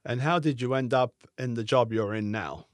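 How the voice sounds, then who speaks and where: A man's voice: medium pitch, no echo. A male talk show host asking questions in a chatty way and keeping the guest going with short replies. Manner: chatty, keeps the guest going with quick "right" and "yeah". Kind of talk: casual interview conversation.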